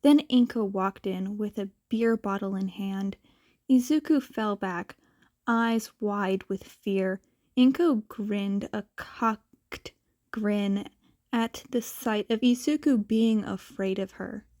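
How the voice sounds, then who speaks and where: A woman's voice: mid-range voice, takes it slowly, darkish tone, no echo, studio quality. A woman narrating fan fiction as a scripted story reading.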